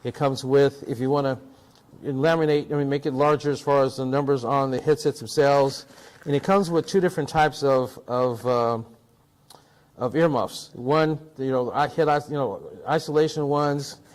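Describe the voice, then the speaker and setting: Guy doing a casual tech unboxing, mid pitched voice, rambles and restarts his sentences a lot. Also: steady tone, normal speed. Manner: rambling, often restarts and repeats himself. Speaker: host of a tech product review show; audio and video production technician. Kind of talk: casual product unboxing talk.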